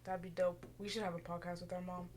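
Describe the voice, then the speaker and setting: A woman's voice: lowish voice, little pitch movement, quick pace, a little mellow. A young woman co-hosting a podcast in casual chat, mumbling a bit and laughing often. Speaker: podcast co-host.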